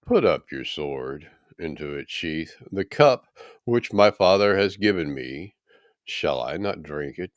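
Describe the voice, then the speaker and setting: A man voicing a calm, resolute holy teacher on trial in a scripture reading, solemn and deliberate as he challenges his questioners. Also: very deep voice, no room sound, clean studio sound, heavily acted. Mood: calm, firm.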